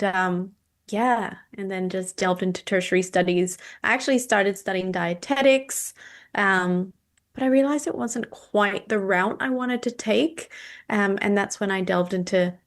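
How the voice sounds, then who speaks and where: A woman in her thirties, a nutritionist and naturopath from Indonesia, talking through her own health history in a podcast interview, steady and measured with frequent ums. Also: mid-range voice. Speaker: guest, clinical nutritionist and naturopath. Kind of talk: podcast interview, personal story.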